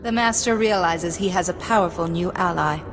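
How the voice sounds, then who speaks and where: An actress playing a cool, ruthless warrior mystic in a video game, curt, assured and coldly sardonic. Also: female voice, lowish voice, moderate pace, big-room sound, over music, natural voice, low-quality recording. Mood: curt, menacing. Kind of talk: video game dialogue acting.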